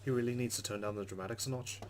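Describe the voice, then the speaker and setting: A man's voice: medium pitch, steady tone, rapid-fire, noisy background. A man hosting a let's play, reading game text aloud and adding casual commentary.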